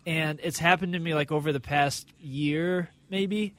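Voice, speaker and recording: A man's voice: a little high, a little slow. A man singer in a casual chat, rambling and correcting himself as he talks.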